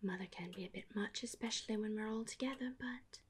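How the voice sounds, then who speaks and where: A woman in her thirties playing a genteel, affectionate Regency wife in a soft, breathy, unhurried ASMR whisper, close to the microphone. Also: mid-range voice. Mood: fond, pleased. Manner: genteel, unhurried, old-fashioned phrasing. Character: genteel Regency married sister, affectionate and house-proud. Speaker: ASMR roleplay performer. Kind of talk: whispered ASMR period roleplay.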